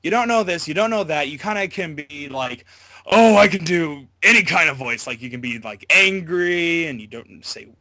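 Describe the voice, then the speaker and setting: A man giving a casual interview as a voice actor, talking in a halting, loosely worded way. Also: fairly high pitch, expressive, bright, forceful tone, no echo.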